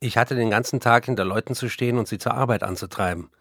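A man's voice: mid-range voice, quick pace, a bit bright, dry recording, studio quality. An actor playing a writer in a radio play, reflectively recalling his life as if telling a story. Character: male writer reflectively recalling his farm-working years. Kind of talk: scripted radio play, first-person recollection.

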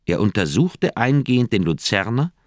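Radio drama: grave older male doctor, very low voice, close dry sound, deliberate delivery of a haunted recollection. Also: sing-song, no echo. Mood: grim, intense. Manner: measured, deliberate, literary phrasing with dramatic pauses. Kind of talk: German radio play monologue, recollection.

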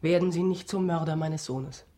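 A woman's voice: low-pitched, moderate pace, music in the background, close to an everyday voice. An actress in a German radio drama playing an anguished noblewoman, earnestly imploring as a desperate mother to a man she once loved. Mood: desperate pleading.

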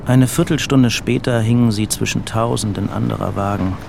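A man's voice: fairly low pitch, fairly even intonation, moderate pace, vocal fry, noisy background. A man reading scripted literary narration for an audio drama.

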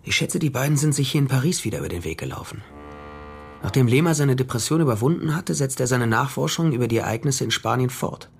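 An actor playing a dogged male private detective in a 1930s crime radio drama, explaining things in a deliberate, matter-of-fact way. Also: medium pitch, vocal fry, a little hiss or hum. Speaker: detective and first-person narrator. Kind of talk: German radio crime drama acting and narration. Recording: studio radio drama with music underneath.

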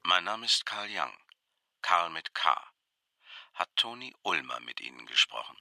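Radio drama mystery client, male: very deep, creaky voice, commanding yet evasive, clipped delivery. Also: very animated, a little slow, sharp tone, exaggerated voice. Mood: secretive, brisk. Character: secretive, commanding male client in spy intrigue. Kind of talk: noir radio play dialogue.

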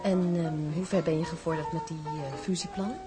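An actress playing an elegant, scheming journalist in a radio drama, flirtatious and coquettish with a mocking edge. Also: female voice, sits low, fairly even intonation, brisk, music in the background, lightly acted. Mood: sly, curious.

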